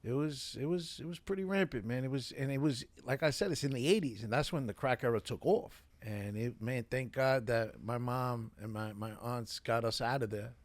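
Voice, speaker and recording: A man's voice: medium pitch, normal speed, few pauses, dry recording. Streetwise New York man, dry close sound. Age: middle-aged. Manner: streetwise, repeats himself, says man a lot. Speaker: guest. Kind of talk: casual podcast chat.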